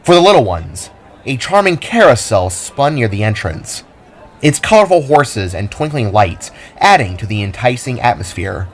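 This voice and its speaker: A man narrating his own audio drama in a descriptive storytelling style. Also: fairly low pitch. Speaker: narrator and writer.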